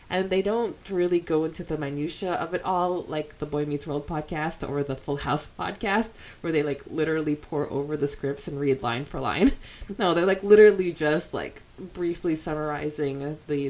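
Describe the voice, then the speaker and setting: A woman's voice: low voice, normal speed, darkish tone. A woman co-hosting a podcast, chatting casually and reading out comments in an informal, giggly way. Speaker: podcast co-host.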